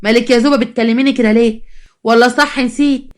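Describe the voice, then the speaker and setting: A woman's voice: fairly high pitch, fairly even intonation, quick pace, natural voice. A woman storyteller voicing a meddling middle-aged mother-in-law with an Egyptian accent, reproachful and slyly mock-sweet. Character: meddling, needling mother-in-law of a new bride. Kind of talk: dramatized storytelling.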